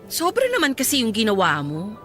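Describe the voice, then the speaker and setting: A woman's voice: on the high side, huge pitch swings, a little fast, a bit bright, soft background music. A woman playing a smug, entitled bride-to-be stepsister in a radio drama, insistent and wheedling. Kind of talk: Tagalog radio drama acting.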